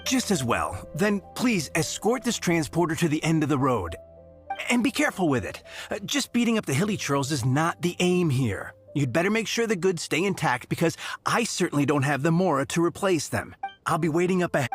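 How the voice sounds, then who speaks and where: A grumbling winery worker. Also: female voice, low voice, sing-song, fairly quick, music in the background. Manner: complaining, impatient.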